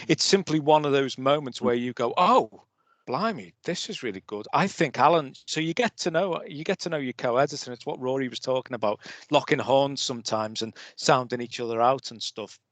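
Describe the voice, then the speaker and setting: A man, a poetry magazine co-editor, talking casually on a panel and telling stories with lively quoted asides. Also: mid-range voice, dry recording. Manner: chatty, anecdotal, quotes himself animatedly.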